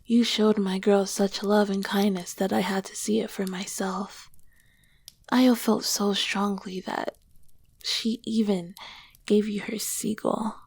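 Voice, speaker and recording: Woman playing a breathy, slow-spoken ancient succubus queen. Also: mid-range voice, flat delivery, dry recording, exaggerated voice. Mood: confiding, fond. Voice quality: soft, breathy, often dropping to a whisper. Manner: slow, gentle, affectionate. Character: ancient succubus queen, female demon, tender, teasing, nurturing. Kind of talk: ASMR roleplay, intimate soft-spoken comfort. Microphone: close microphone, dry.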